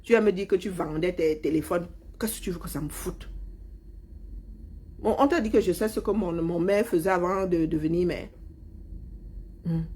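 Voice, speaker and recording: A woman's voice: lowish voice, moderate pace, pauses often, a little mellow, breathy edge. A middle-aged woman, mockingly scolding a politician in a heated live-stream rant. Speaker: commentator replying to a politician.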